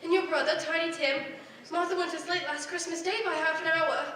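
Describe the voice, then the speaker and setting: A woman's voice: very high-pitched, steady tone, brisk, pushed and bright. A fussing motherly housewife. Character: warm fussing mother Mrs. Cratchit. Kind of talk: 1940s radio acting. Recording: echoey stage from far off.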